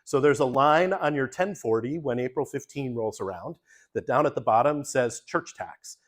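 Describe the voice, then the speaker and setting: Pastor giving a casual slide talk. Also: male voice, medium pitch, normal speed. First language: English. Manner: relaxed, many ums, talks through slides. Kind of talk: informal church forum presentation. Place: church forum room.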